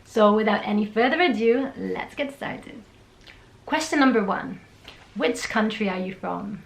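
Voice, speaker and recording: A woman's voice: medium pitch, expressive, measured pace, creaky. A young woman from Italy with an Italian accent, chatting through a Q&A on her own channel. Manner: bright and chatty, reads out questions then answers at length. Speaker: vlogger. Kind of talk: casual Q&A vlog. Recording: echoey room.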